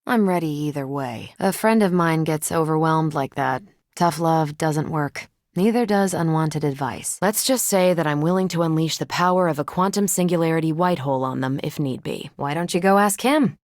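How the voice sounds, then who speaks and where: A woman acting as a battle-ready video game superheroine. Her voice sits on the low side and is recorded close and dry. She is loyal and self-assured, with a wry, teasing edge to her delivery. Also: normal speed, a little mellow, no echo, natural voice. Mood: steady, conversational.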